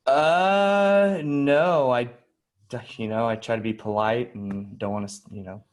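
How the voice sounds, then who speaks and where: A man playing a nervous, eager job applicant in improvised sketch comedy, speaking politely and haltingly. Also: medium pitch, steady tone, lightly acted. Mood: flustered, apologetic. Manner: hesitant, polite, stammering.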